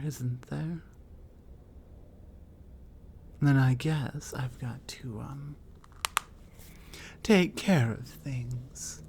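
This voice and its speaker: Slow-talking territorial troll woman, scornful and commanding, ASMR roleplay, voiced by a woman. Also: deep voice, a little mellow, dry recording, heavily acted. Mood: wary, muttering. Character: territorial female troll, huge, reclusive, cold. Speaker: voice actor.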